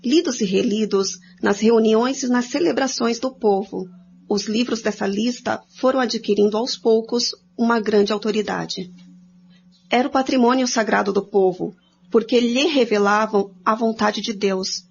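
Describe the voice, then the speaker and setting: A woman with a slightly high voice reads scripted explanatory commentary as co-presenter of a religious study programme. The recording is lo-fi and dulled, with some noise around her voice. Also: normal speed. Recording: dull lo-fi sound with clear noise.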